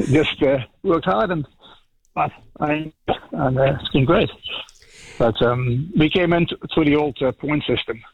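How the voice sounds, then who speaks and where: A middle-aged farmer with a Dutch accent, calling in and chatting with plenty of ums and restarts. His voice is husky, and the line sounds dull and dry. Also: a little high, measured pace, no echo. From Netherlands. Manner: hesitant, many ums and restarts. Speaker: dairy farmer, caller. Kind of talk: casual radio phone chat.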